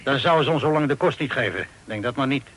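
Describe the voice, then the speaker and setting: A man's voice: mid-range voice, fast, fairly bright tone, close to an everyday voice. A man acting a talkative, folksy Dutch sea captain of forty-five in an old radio play. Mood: steady, reassuring. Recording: old radio recording with hiss.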